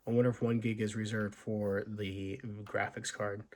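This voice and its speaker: A man talking through an old laptop's setup, his voice hoarse from illness. He thinks aloud with halting pauses and fillers, in a casual vlog manner. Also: lowish voice, level tone, a little mellow. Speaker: tech video host. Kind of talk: casual unboxing walkthrough.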